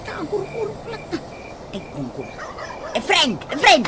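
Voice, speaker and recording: A woman's voice: very high voice, wildly swooping pitch, measured pace, very noisy, full character voice. A woman acting a nagging, exclamatory wife in an Ilocano Filipino radio comedy drama. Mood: exasperated shouting. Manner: scolding, exclamatory. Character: loud nagging wife scolding her sleepy husband.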